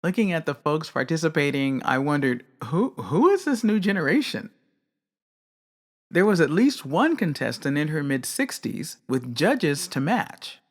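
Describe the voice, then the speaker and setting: A woman hosting a craft podcast, giving measured, candid and opinionated commentary on her own. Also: sits low, big pitch swings. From not British. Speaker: podcast host. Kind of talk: reflective solo commentary.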